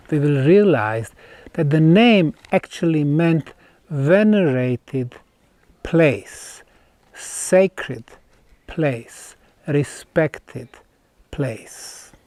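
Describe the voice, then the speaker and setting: Bosnian accented guy presenting a documentary, really slow and emphatic, dry sound with little echo. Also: fairly high pitch, very animated, a little mellow. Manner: deliberate, emphatic, with pauses between phrases. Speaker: presenter, researcher. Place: outdoors at stone ruins.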